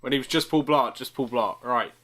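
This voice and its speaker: A man's voice: mid-range voice, fairly quick, a bit bright. A man hosting a comedy podcast, moving between casual banter and mock-dramatic storytelling. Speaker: podcast host and radio play narrator.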